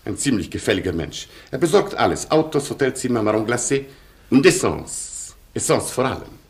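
A man's voice: medium pitch, sing-song, normal speed. A proud Polish colonel of the old aristocracy, speaking in wide, swooping melodies. He is gallant and imperious, with a Polish accent, formal broken phrasing and full radio-play grandeur. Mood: lofty, commanding. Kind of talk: German radio play acting.

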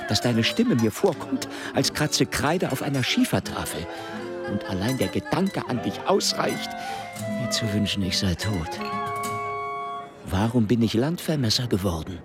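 A man's voice: mid-range voice, big pitch swings, measured pace. An actor playing a weary, anxious middle-aged husband in a radio drama, brooding and blunt about his misery. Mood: bitter dismay. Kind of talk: audio drama monologue. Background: music.

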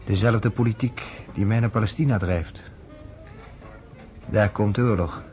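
An actor playing a calm, worldly journalist who talks persuasively with a wry edge, in a radio play. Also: male voice, lowish voice, measured pace, soft background music, close to an everyday voice.

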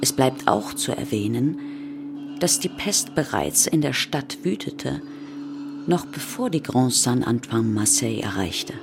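An actress playing a grave, sober chronicler of a plague in a scripted radio drama. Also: female voice, a bass voice, expressive, measured pace, creaky, noisy background, heavily acted. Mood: sober, matter-of-fact. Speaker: radio play narrator.

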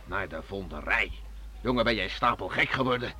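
Casting: gruff, domineering beachcomber dad, forceful bright voice, radio play with faint noise. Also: male voice, fairly low pitch, lively intonation, a little fast. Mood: impatient. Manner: gruff, commanding, impatient. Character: stern, bossy father of a teenage son, island beachcomber. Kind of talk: radio drama acting. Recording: faint background noise on old radio recording.

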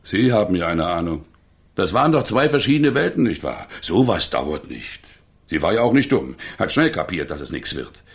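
Gravelly, garrulous older innkeeper. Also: male voice, deep voice, very animated, normal speed, exaggerated voice. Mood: confiding, reminiscing. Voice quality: gravelly, husky. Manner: chatty, chuckling, tags remarks with a questioning phrase. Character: garrulous, earthy older provincial innkeeper, gossipy but guarded. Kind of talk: radio play dialogue.

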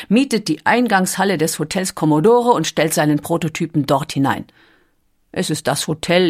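A narrator giving scripted documentary narration with an even, steady reading. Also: mid-range voice, breathy edge.

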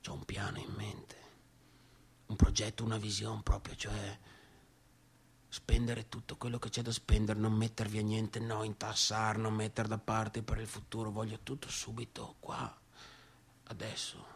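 A man's voice: lowish voice, monotone, unhurried, vocal fry, slight background noise. An actor playing a volatile, obsessive, defiant young man in a stage monologue, with a northern Italian accent and an agitated, repetitive delivery. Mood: brooding, defiant. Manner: agitated, repetitive, swinging from shouts to whispers. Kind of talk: theatrical monologue, stage acting.

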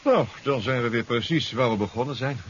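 A man's voice: mid-range voice, brisk, fairly bright tone, lightly acted. A man playing a curious, dryly witty scientist in a 1970s radio drama, musing aloud with dry assurance. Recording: dull hissy 1970s radio recording.

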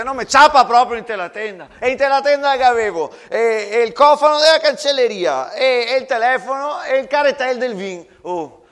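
Actor as a shouting, high-pitched Venetian trench soldier. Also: male voice. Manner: coarse, emphatic, repeats his words. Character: loud, indignant Italian trench soldier, earthy and hot-headed. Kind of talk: theatrical monologue.

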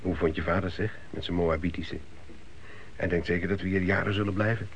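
An actor playing a chatty, scornful young emigrant son in a radio drama, speaking colloquially with repeated words. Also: male voice, low voice, fairly even intonation, a little fast. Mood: probing. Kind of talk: naturalistic radio drama dialogue. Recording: hissy old radio play recording.

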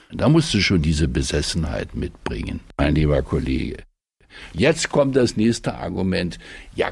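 A man's voice: deep voice, darkish tone, husky, no room sound. A man arguing his opinion in an emphatic, declarative way. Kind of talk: opinionated argument.

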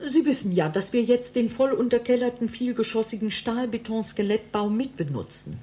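Radio play: self-important female information clerk, played by an actress, bureaucratic, matter-of-fact, higher register. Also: lively intonation, moderate pace, mellow, close to an everyday voice. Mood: proud, officious. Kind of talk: German radio play acting.

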